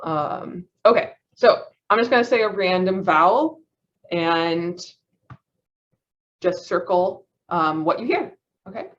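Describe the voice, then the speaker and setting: A woman's voice: lowish voice, lively intonation, slow pace, lo-fi sound. A woman student presenting a phonetics lesson over a video call, hesitant, often restarting and laughing at herself. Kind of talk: class presentation.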